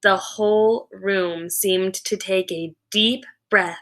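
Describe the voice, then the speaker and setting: Bright-voiced woman reading a story aloud. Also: mid-range voice, steady tone. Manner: deliberate, dramatic storytelling. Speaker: teacher reading a children's novel aloud. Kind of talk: expressive read-aloud narration.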